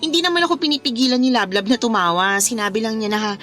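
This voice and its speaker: Eager-to-please Filipina around thirty for radio drama, played by an actress; highish voice, apologetic, defensive, light music bed. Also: female voice, fast, fairly bright tone, natural voice. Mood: apologetic, explaining.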